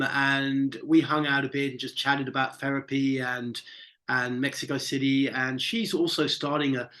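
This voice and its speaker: A man's voice: medium pitch, fairly bright tone. Easygoing male podcast host. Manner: easygoing, filler-laden. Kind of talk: interview hosting.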